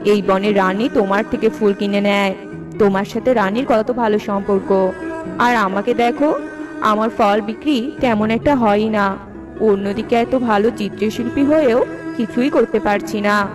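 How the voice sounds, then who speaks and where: A woman's voice: mid-range voice, steady tone, moderate pace, natural voice. A woman voicing a self-pitying, downcast crow in a children's cartoon. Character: self-pitying crow, struggling artist and fruit seller. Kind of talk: children's cartoon character dubbing. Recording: music behind the voice.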